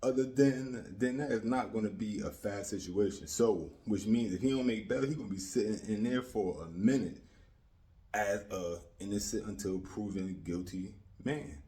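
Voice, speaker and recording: A man's voice: fairly low pitch, normal speed, dark tone. An American man giving casual, opinionated commentary in a slangy, streetwise way, repeating himself for emphasis and often checking that the listener follows. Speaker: YouTube commentator.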